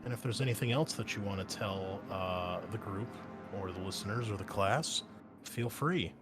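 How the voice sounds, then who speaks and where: A man's voice: fairly low pitch, normal speed, over music. Upbeat man hosting a game podcast. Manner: upbeat, swings loud on key words. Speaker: host and game master.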